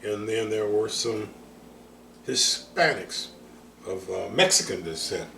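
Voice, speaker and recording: An older man from Chicago, recalling his neighborhood for his grandson in a slow, halting, casual interview. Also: on the low side, gravelly, bright, forceful tone, a little hiss or hum. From Chicago, United States. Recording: roomy, voice from far off, faint music.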